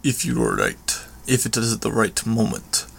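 Man voicing a brutal proud supervillain, gravelly growl, halting deliberate phrases, menacing, audiobook. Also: fairly low pitch, huge pitch swings, a bit bright, exaggerated voice. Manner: growling, halting, clipped foreign-sounding phrasing.

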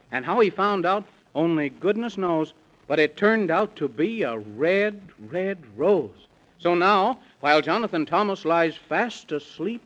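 A man telling a rhyming children's story in a singsong, theatrical 1930s radio style, on a lo-fi old recording. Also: on the high side. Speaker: storyteller announcer.

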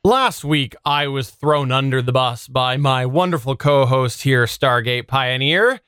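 Guy hosting a podcast, clean crisp voice, casual delivery, very dry close sound. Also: medium pitch, a little slow, fairly bright tone, no room sound. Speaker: podcast host. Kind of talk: casual podcast hosting, reading listener feedback.

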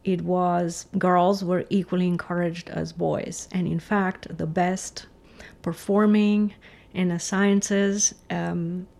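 A middle-aged woman from Romania, a physicist guest giving thoughtful, measured interview answers with frequent restarts. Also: lowish voice, level tone, gravelly, darkish tone.

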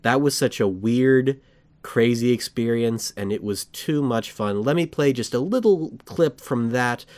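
A man hosting a fan podcast, chatting casually, rambling and correcting himself as he gets excited. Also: mid-range voice, measured pace. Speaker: podcast host.

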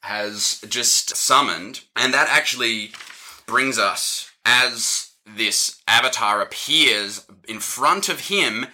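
A man's voice: medium pitch, slow, sharp tone, low-quality recording. A man acting as game master, rambling through a tabletop game session and laughing often. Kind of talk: running a tabletop game, casual chat.

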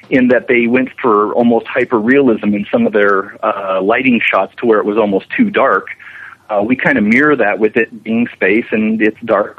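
A man's voice: lowish voice, slightly rough. A man being interviewed as a special effects animator, explaining his work conversationally with frequent restarts. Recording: narrow, tinny phone-like line.

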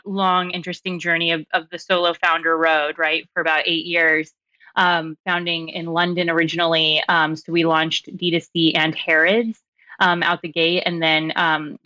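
A woman's voice: lowish voice, pushed and bright, no echo. A woman talking casually and chattily as a startup founder introducing herself, in a rambling way with small chuckles. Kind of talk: casual spoken self-introduction.

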